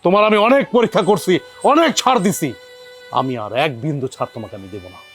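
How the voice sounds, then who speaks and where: An actor voices a young husband who shouts in indignation at his wife's family. His voice is slightly high and breaks into angry outbursts. Faint music plays behind him. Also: huge pitch swings, fast. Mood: furious.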